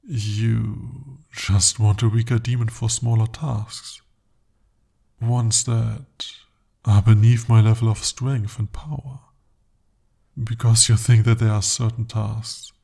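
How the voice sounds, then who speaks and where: A man's voice: on the low side, little pitch movement, creaky, mellow, breathy edge, exaggerated voice. A man voicing a devoted, possessive male demon in an ASMR roleplay, speaking formally and intimately. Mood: jealous intense. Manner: formal, intimate, slow-spoken address.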